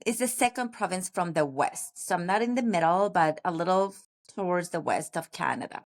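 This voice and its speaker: Podcast guest: low-voiced middle-aged woman from Paraguay, Spanish first language, reflective and earnest, pausing to think. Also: lively intonation. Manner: reflective, earnest, pauses with fillers. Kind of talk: casual conversational interview.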